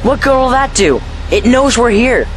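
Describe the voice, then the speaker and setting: A man's voice: very high voice, big pitch swings, normal speed, noisy background. A performer tagged male playing a troubled, defiant, guilt-ridden young boy, stubborn and earnest, in an audio drama. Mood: tense. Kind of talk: fan audio drama acting.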